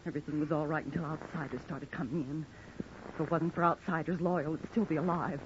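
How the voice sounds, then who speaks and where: An actress playing a prim, high-strung, devoted housekeeper in an old-time radio drama, stiff, offended and plaintive. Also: female voice, fairly even intonation, fairly quick, close to an everyday voice. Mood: indignant. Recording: dull, noisy vintage radio recording.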